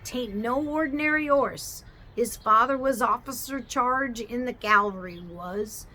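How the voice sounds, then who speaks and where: Storybook Cockney cabby, gruff yet kind, slow and a touch higher, as a woman reader puts him on. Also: pushed and bright, poor audio. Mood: urgent. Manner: dropped h's, drawn-out words. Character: red-faced Cockney cab driver, middle-aged man, gruff but kindly.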